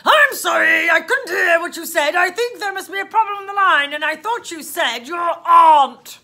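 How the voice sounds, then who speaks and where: A woman plays a gruff storybook policeman with a growl. He is loud and forceful, swooping through drawn-out, incredulous questions as if he doubts every word he hears. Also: extremely high pitch, lively intonation, voice pushed up, heavily acted. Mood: loud, disbelieving. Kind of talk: children's storybook read-aloud.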